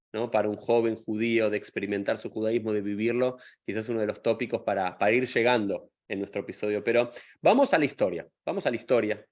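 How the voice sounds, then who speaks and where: A man hosting an interview podcast, asking long, stacked, rambling questions. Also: lowish voice. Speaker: podcast host.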